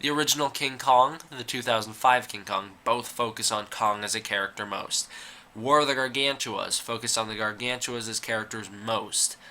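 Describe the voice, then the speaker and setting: Bright-voiced young man with a cold. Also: on the low side, fairly even intonation, normal speed. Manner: rambling, opinionated, frequent "uh" and "like". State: fighting a cold. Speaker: YouTuber. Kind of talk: casual opinion commentary.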